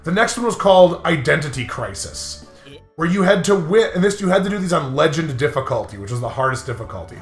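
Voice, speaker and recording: Higher-voiced man chatting in a roomy space. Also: big pitch swings, fast. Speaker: host of a gaming commentary video. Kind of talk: casual banter and rambling gaming stories. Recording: roomy sound with music behind.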